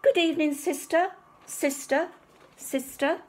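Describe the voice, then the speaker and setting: Storybook duck acted by a woman: young, female, plucky and crafty, persuasive, unhurried. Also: sits high, steady tone, a little mellow, voice pushed up, low-quality recording. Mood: calling out. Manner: quacking, coaxing.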